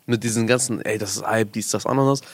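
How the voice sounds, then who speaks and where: A man's voice: fairly low pitch, steady tone, quick pace, dry recording. A young man from Afghanistan in a casual discussion, talking informally in youth slang and often checking in with the listener. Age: young adult.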